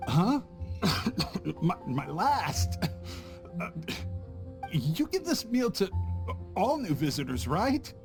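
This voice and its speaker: A man's voice: sits high, sing-song, very slow, creaky, pauses often, music in the background, heavily acted. A man voicing a cowardly, scheming visiting merchant in a game, stammering and panicky. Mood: nervous.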